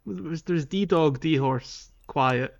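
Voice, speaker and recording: A man's voice: on the high side, drawn-out words, creaky, dull audio. A man hosting a podcast, chatting casually and thinking aloud as he goes. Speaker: podcast host. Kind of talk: casual gaming podcast chat.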